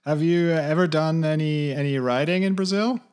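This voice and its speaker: A middle-aged man hosting a podcast, asking questions in a friendly, halting way full of fillers. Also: a little high, darkish tone, clear, resonant tone. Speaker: podcast host. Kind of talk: interview questions.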